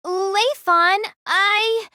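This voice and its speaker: Young female platoon captain, acted by a woman: forceful, pleading, bright and high-pitched, audio drama style. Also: steady tone, extremely slow, no room sound, studio quality, exaggerated voice.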